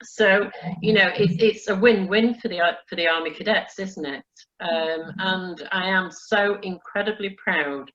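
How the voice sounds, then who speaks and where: A woman's voice: medium pitch, measured pace, few pauses, fairly bright tone. A woman speaking as an honorary colonel, congratulating people in an effusive, encouraging, chatty way, on dull lo-fi audio. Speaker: honorary colonel hosting a presentation. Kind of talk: warm congratulatory ceremonial chat.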